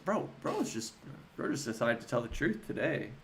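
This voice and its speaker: A man hosting a casual livestream, talking informally and haltingly as he thinks aloud and answers chat. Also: medium pitch, sing-song, gravelly.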